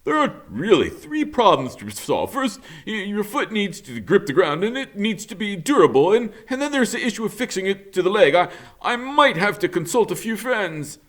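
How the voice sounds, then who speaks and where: A man's voice: high-pitched, very animated, moderate pace, few pauses, fairly bright tone, voice pushed up. A man voicing a cheerful, confident beaver craftsman in a storybook, with a hearty, slightly stammering, exclamatory delivery. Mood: thoughtful, eager. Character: busy, confident old-fashioned male beaver craftsman, cheerful.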